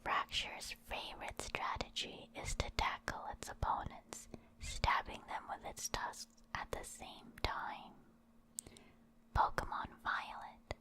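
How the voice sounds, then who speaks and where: A woman reading short reference entries as ASMR in a soft, soothing, deliberate whisper. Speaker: ASMR creator reading game creature entries.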